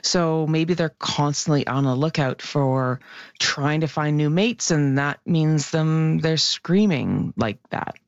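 A woman science columnist explaining research in a lively, animated radio chat, with a naturally loud voice. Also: deep voice, slow pace, no room sound, highs cut off.

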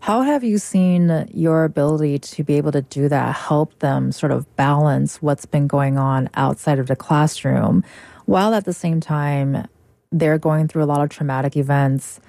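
A woman interviewing a guest, asking measured questions and rephrasing them at length. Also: deep voice, vocal fry, dark tone, dry recording.

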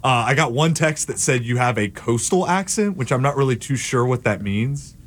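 A man's voice: medium pitch, lively intonation, normal speed. Matter-of-fact male radio co-host. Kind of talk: reading listener texts on air.

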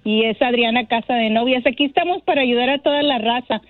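A woman's voice: on the high side, fast, no room sound. A middle-aged woman calling in by phone, chatting cheerfully and laughing often as she talks about her bridal shop. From Mexico (Monterrey) and Texas. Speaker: caller, bridal shop owner. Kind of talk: phone-in chat on a radio show. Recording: tinny phone line, dry.

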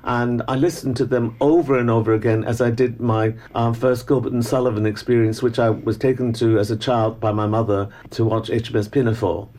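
An older man with an educated Hong Kong English accent, a Cantonese speaker, reminiscing as a guest in a relaxed interview with frequent restarts and small laughs. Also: medium pitch, fairly quick, few pauses. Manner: thoughtful, with many restarts and soft laughs.

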